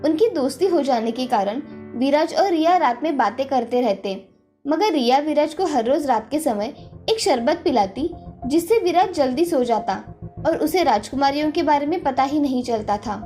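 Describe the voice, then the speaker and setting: A woman's voice: high voice, lively intonation, normal speed, warm tone. A woman storyteller giving scripted narration of a children's fairy tale. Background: faint background music.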